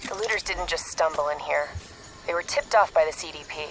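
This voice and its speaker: An actress playing a composed, businesslike intelligence operative in a video game, speaking in a clipped manner. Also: female voice, sits low, brisk, creaky, poor audio. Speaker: mission handler. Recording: game music behind the voice.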